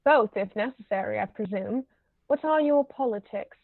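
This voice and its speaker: A woman's voice: moderate pace, natural voice. A woman reading the part of an imperious, snobbish older aristocratic lady in a stage comedy, pompous and formal in manner. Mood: snobbish, disapproving. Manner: pompous, formal, pronouncing judgments. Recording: roomy, muffled low-quality recording.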